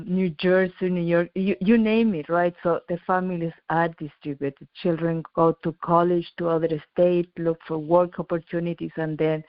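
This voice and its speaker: Woman from Chile, middle-aged, lowish voice, earnest, stumbling restarts, dry dull audio. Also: no echo. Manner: earnest, frequent restarts and repeated words. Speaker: engineer and company founder. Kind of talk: radio interview guest.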